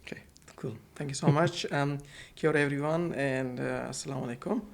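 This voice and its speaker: Gravelly-voiced man. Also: on the high side, brisk. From Pakistan. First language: Urdu. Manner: hesitant, many fillers and repeated words. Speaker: guest, center manager. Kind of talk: casual podcast interview. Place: office.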